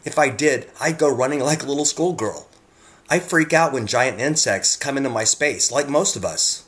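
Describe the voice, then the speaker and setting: Guy with a mid pitched voice narrating his own photos, earnest, scripted, talking right to the viewer. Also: normal speed, a bit bright.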